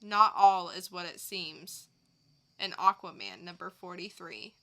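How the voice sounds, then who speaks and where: A woman's voice: lowish voice, very animated, unhurried, a bit bright, rough recording. A woman co-hosting a podcast about comic books, speaking in an informal review-show style. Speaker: podcast co-host. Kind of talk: casual chat and scripted comic previews.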